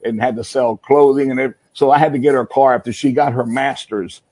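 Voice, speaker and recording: A man's voice: medium pitch, steady tone, fairly quick, a little mellow, no room sound. A middle-aged man, a guest on a talk show, telling rambling stories about his family. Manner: rambling, emphatic, with restarts. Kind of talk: casual storytelling.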